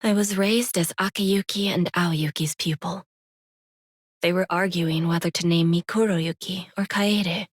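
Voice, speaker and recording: Hushed, breathy half-demon woman recalling her past. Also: on the low side, steady tone. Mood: tender. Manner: slow, solemn, wistful. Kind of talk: scripted dramatic narration. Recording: music behind the voice.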